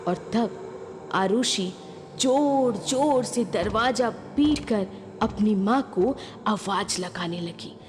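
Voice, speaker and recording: A woman narrating a horror story dramatically, building suspense with phrases broken by pauses. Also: mid-range voice, noisy background. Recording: long reverb, clear music behind.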